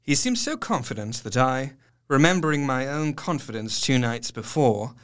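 An actor playing an earnest, educated Victorian doctor, narrating his diary in a formal, measured way for an audio drama. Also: medium pitch, expressive, a bit bright, clean tone, lightly acted. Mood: uneasy.

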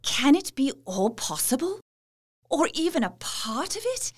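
A woman's voice: high voice, big pitch swings, a little slow, faint music behind. An actress playing a polite, devoted young Victorian wife in a gothic audio drama, formal and heartfelt, as if telling her diary. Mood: troubled. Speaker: actress narrating and playing dialogue.

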